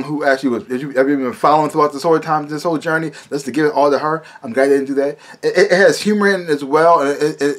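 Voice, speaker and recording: An American man in his thirties giving a casual, emphatic movie review to camera, often repeating his words, recorded in a small room at home. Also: fairly high pitch.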